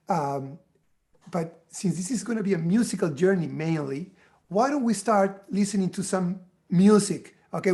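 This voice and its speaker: A man from Peru, a musician guest patiently explaining music to children over a video call. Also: high-pitched.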